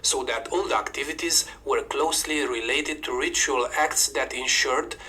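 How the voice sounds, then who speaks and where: A man's voice: mid-range voice, normal speed, sharp tone, poor audio. A man with a Romanian accent narrating a scripted video about folk customs in an upbeat presenter manner.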